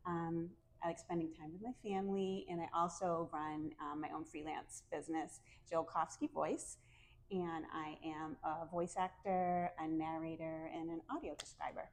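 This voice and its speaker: A middle-aged woman with a New England American accent, a calm and soft-spoken podcast guest chatting casually and laughing often. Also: low voice, moderate pace, poor audio. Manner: friendly, laughs often, many fillers. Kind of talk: casual interview chat.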